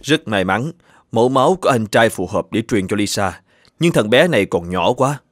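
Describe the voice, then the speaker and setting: A man voicing a serious, considerate hospital doctor in a cartoon, speaking in a grave, measured, formal way. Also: on the low side, expressive, no echo, clean studio sound. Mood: concerned. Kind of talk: animated children's story dubbing.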